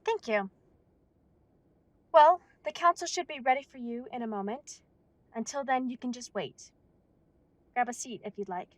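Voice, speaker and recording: A polite, businesslike werewolf receptionist, voiced by a woman in an audio drama, with a bright, high voice. She sounds close and dry, with no room echo. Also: normal speed, pauses often, lightly acted. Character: polite female werewolf council receptionist, brisk.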